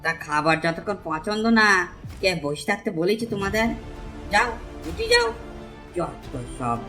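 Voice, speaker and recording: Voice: mid-range voice, expressive, a little slow. Cartoon village rogue, performed by an actor: insolent male servant, greedy, sneering, bullying, light music underneath. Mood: contemptuous. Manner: sneering, brash. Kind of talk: cartoon folk tale acting.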